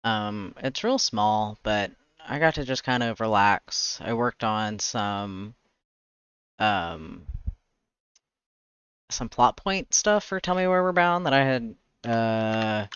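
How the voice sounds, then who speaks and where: A man with a slightly raspy voice chatting casually on a livestream, rambling and pausing to search for words. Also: on the low side, fairly bright tone, lo-fi sound. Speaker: streamer, webcomic artist. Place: indoors, livestream.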